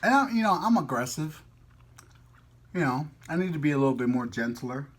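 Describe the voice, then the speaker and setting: A man's voice: on the high side, big pitch swings, a little fast. A man chatting casually as a vlog host, playful and slangy, rambling as he goes. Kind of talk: casual chat to camera.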